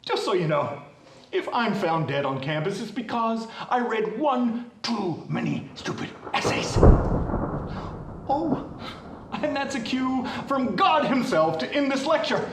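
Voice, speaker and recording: Acted sardonic professor, theatrical, emphatic, wide swooping pitch, distant mic, music behind. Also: sing-song, unhurried, roomy sound, a little hiss or hum. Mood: sarcastic, fed up. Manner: emphatic, dramatic, sarcastic. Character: exasperated, sardonic English professor lecturing a class. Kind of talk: theatrical student web series acting.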